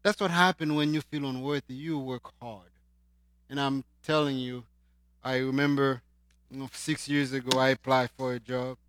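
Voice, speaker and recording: A man from Haiti with a Haitian accent, preaching a sermon and swinging between loud emphatic lines and quiet asides. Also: medium pitch, steady tone, normal speed, smooth.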